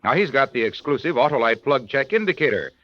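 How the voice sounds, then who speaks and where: American male radio pitchman, 1950s style, animated intonation, dull vintage recording. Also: quick pace, dry recording. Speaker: radio announcer and commercial pitchman. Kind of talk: 1950s scripted radio announcing and advertising.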